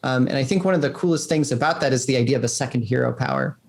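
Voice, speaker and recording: A man talking casually as an interviewed game designer, in an informal, halting way with lots of filler words. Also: mid-range voice, vocal fry.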